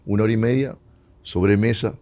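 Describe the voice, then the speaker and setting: A man's voice: fairly low pitch, steady tone, fairly quick, a little mellow. A Uruguayan man, a former footballer whose first language is Spanish, telling stories in an interview and mixing Portuguese with Spanish. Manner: mixes Portuguese and Spanish, laughs often. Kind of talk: storytelling interview.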